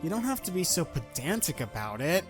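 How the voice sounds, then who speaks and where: A man's voice: a little high, very animated, a little fast, over music. A man voicing a wisecracking, impatient skeleton in an audio drama, grumbling and sarcastic in a folksy way. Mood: eager, cajoling. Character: wisecracking, impatient male skeleton, talking head. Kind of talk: audio drama character acting.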